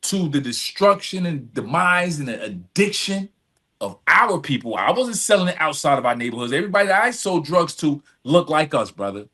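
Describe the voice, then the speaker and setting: A man's voice: on the high side, big pitch swings, moderate pace, pushed and bright. A man telling his story earnestly in conversation, repeating phrases for emphasis and checking in with "right?". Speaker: podcast guest, community service advocate. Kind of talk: reflective personal storytelling in conversation.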